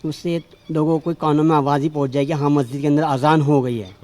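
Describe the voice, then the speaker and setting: Slightly high-voiced western UP man. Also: steady tone, fast, darkish tone. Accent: western Uttar Pradesh. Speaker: local resident interviewed. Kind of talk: explanatory street interview answer.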